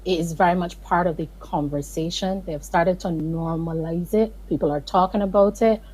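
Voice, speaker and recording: Husky Barbadian woman, earnest. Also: on the low side, moderate pace, a little mellow, rough recording. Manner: earnest, emphatic, pleading in places. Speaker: guest. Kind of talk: video call interview.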